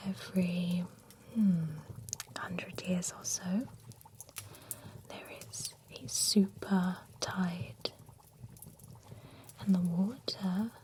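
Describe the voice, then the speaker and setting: A woman's voice: on the low side, flat delivery, drawn-out words, long pauses, darkish tone, a little hiss or hum. A woman whispering in a chatty, playful way as a pampering spa stylist in an ASMR roleplay. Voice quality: mostly unvoiced whisper.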